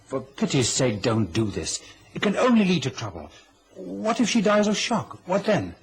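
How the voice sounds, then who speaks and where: A man's voice: high-pitched, wildly swooping pitch, moderate pace, full character voice. An actor playing a young, sensitive son cowed by his mother, earnest and anguished in an old radio drama. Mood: pleading, nervous. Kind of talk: old-time radio drama acting and confessional narration.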